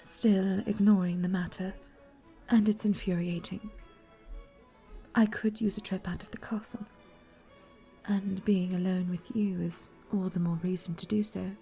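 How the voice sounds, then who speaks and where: Woman playing a gentle lovestruck princess, mellow breathy voice, courtly wording, fantasy audio roleplay w music behind. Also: mid-range voice. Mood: tender. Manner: soft, breathy, intimate, old-fashioned courtly phrasing. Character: young princess Briar, gentle, troubled, lovestruck, formal. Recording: background music.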